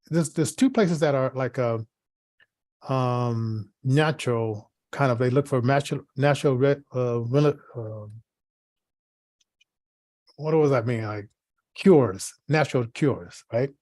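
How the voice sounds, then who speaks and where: A man co-hosting in casual, rambling chat, halting as he searches for words and repeats himself. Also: medium pitch, a little mellow, no room sound. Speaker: podcast co-host.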